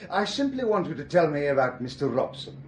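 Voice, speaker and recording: A police inspector in an audio thriller, courteous and precise. His pitch is fairly high and moves freely, in an echoey room with some background noise. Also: big pitch swings, fairly quick. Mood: polite, probing. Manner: formal, over-polite, precise. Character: courteous, talkative German police inspector, fond of English. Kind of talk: radio drama acting.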